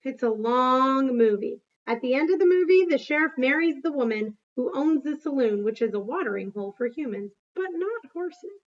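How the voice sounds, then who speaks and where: Female read-aloud storyteller, higher pitch, expressive delivery, somewhat dull lo-fi capture. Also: moderate pace, hardly any pauses. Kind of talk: expressive storybook reading with brief asides.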